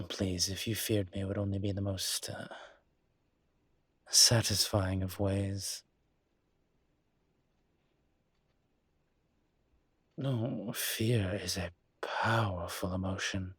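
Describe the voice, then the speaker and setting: Man playing a husky, slow-talking seductive demon. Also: on the low side, dry recording. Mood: seductive, indulgent. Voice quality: husky, breathy. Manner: languid, seductive, theatrically polite with drawn-out words. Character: suave, flirtatious male demon professor, teasing and indulgent. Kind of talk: intimate flirty audio roleplay to the listener.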